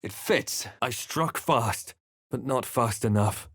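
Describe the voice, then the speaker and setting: A man playing a grave, watchful fantasy adventurer in a video game, narrating in the first person with measured, dramatic delivery. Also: medium pitch, a bit bright, no echo, clean studio sound. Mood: grave narration.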